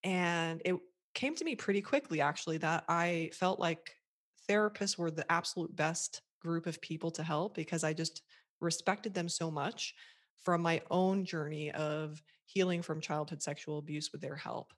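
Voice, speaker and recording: A woman with a low-pitched voice talks as a guest on a podcast. She speaks earnestly and reflectively, like someone sharing her own story in a relaxed interview. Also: moderate pace. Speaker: podcast guest, marketing coach for therapists. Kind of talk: conversational interview.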